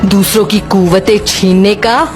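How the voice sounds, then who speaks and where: A woman's voice: medium pitch, fairly even intonation, close to an everyday voice. A woman playing a commanding, vengeful supernatural woman in a dramatic horror audio story. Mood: vengeful, taunting. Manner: slow, deliberate, dramatic. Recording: music behind.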